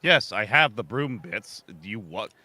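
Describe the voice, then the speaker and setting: A man's voice: on the low side, animated, unhurried, sharp tone, no echo. A man taking part in a tabletop game as a player, joking casually with the group.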